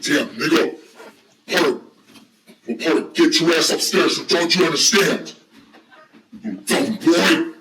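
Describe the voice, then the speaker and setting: A man's voice: fairly high pitch, lively intonation, measured pace, pauses often, pushed and bright, far from the mic, slight background noise. A man doing a cruel barking staffer. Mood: furious. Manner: barking orders, hurling insults. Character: abusive male institution staffer, bullying and cruel. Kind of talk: amateur drama acting. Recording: echoey room, voice from afar.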